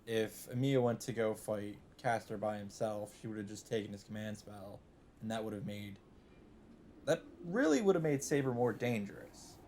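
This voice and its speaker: A man hosting a let's play, chatting casually and reading game narration aloud, thinking out loud as he goes. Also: fairly low pitch, moderate pace, a little hiss or hum.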